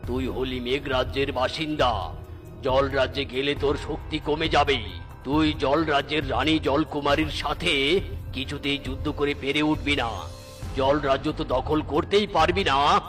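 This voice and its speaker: Stern elderly male sorcerer, voiced by a man, slow, commanding cartoon mentor. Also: mid-range voice, steady tone, natural voice. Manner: commanding, grave, slow pronouncements. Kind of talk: cartoon story voice acting. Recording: loud background music.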